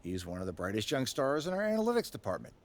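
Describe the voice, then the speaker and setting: An actor playing an earnest, weary head basketball coach with a gravelly voice in a video game cutscene. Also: male voice, mid-range voice, wildly swooping pitch, fast talker. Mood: businesslike.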